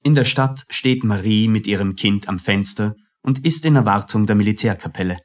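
A man's voice: on the low side, normal speed. A man reading scripted scene narration for a radio play.

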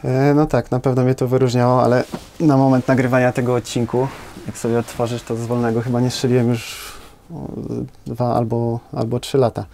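A man's voice: mid-range voice, flat delivery, fairly quick, dark tone, slight background noise. A man in his thirties, a footballer guest, answering casually and hesitantly, with many fillers and tag questions, in a small studio. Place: small studio with brick wall.

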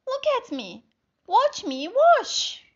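A woman's voice: extremely high pitch, huge pitch swings, slow pace, highs cut off, very noisy, pitched above the performer's own voice, heavily acted. A woman voicing a lonely, eager female spider in a storybook, with simple, emphatic, showy delivery.

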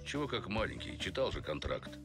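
A gruff talking bear from a noir cartoon, with a very deep voice. He is a stern boss who gives dry, clipped orders. Music plays clearly behind him. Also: big pitch swings, a little fast. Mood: dry, instructing. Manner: terse, commanding, dry. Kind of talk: animated noir film acting.

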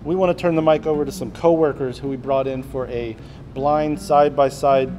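A man presents a brewing segment in an upbeat, explanatory tone. He stands at a table in a brewery taproom, and a steady hum of background noise runs under his voice. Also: medium pitch, fairly quick. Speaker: brewery video host. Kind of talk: scripted presentation.

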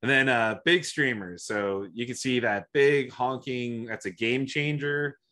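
A man's voice: mid-range voice, a little slow, pushed and bright. A man giving an online slide presentation over a video call, talking hesitantly with many fillers and restarts. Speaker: guest presenter.

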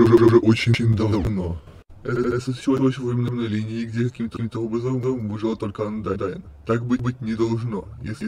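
A man acts out an unhinged skeleton destroyer from a comic dub. His mid-pitched speech skips and stutters like broken code, with cheerful menace. Clear noise sits behind the recording. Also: a little mellow, natural voice. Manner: glitchy stutter, repeating words and syllables.

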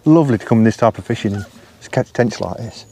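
A man in his fifties, an angler talking casually to the camera from a lakeside bank, soft-spoken and often murmuring under his breath. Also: fairly low pitch, fast talker, gravelly. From England. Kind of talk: casual fishing commentary. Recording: faint outdoor noise with birds.

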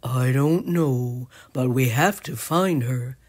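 A woman voicing a patient, gentle male steer who reassures in a measured way, for a storybook. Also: rumbling low, breathy edge, pitched below the performer's own voice, exaggerated voice. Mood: gently firm.

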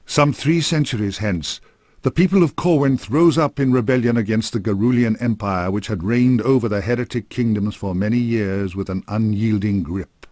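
Low-voiced man, solemn fantasy narrator. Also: moderate pace, darkish tone, no echo, studio quality. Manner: solemn, formal storytelling. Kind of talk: scripted fantasy game narration. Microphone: close studio microphone.

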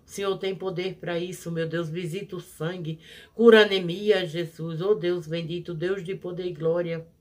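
A prayer leader, leading a fervent healing prayer in a rhythmic, repetitive, pleading cadence, swelling loud on each plea and dropping soft on each invocation. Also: medium pitch, steady tone, normal speed. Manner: pleading, rising loud on pleas and falling soft on each "Jesus".

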